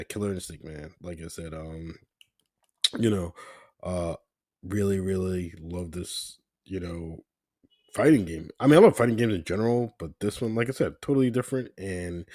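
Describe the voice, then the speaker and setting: A man with a very deep voice talks through his picks in a loose, rambling way, leaning on fillers. His voice is hoarse from a cold, and the recording is close and dry with no room sound. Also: a little mellow, no room sound. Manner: rambling, laid-back, many fillers. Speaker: gaming channel host. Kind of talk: casual ranking commentary.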